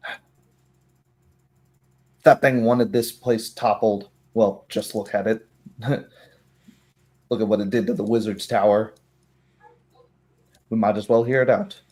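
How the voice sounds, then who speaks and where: A proud baroness, acted out by a man at a tabletop game. The delivery is slow and resigned, with a defiant edge, as a noblewoman who accepts her fate. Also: on the low side, steady tone, darkish tone. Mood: resigned defiance.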